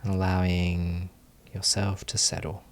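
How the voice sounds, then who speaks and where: A man leading a guided meditation as a teacher, giving gentle instructions with long pauses. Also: low voice, monotone.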